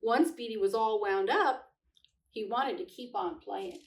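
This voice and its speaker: A middle-aged woman reading aloud to children as a host, with gentle, expressive delivery. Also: a little high, a little slow. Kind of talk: storybook read-aloud with casual chat. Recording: echoey room, distant microphone.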